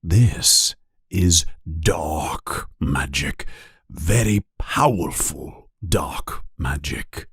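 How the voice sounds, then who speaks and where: A man voicing a calm, grave elderly wizard headmaster, soft-spoken and measured, with a husky, breathy voice, for a storybook. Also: rumbling low, no echo, clean studio sound, voice pushed down, full character voice.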